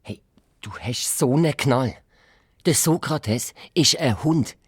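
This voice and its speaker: Low, husky, grumpy Swiss German boy. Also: takes it slowly, no echo, clean studio sound, heavily acted. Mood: irritated, scornful. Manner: dismissive, grumpy. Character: annoyed, scornful young twin boy detective. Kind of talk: Swiss children's audio drama.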